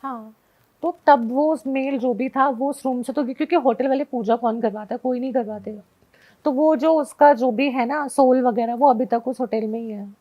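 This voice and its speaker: A woman's voice: sits high, normal speed. A young woman telling a personal story casually as a guest, mixing English words into her talk. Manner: mixes Hindi with English words. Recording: dull lo-fi recording.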